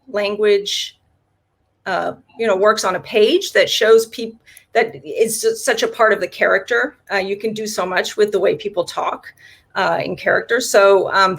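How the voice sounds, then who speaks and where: A woman's voice: medium pitch, creaky, husky. A woman whose first language is German, an author answering interview questions in a chatty way, with many fillers and restarts.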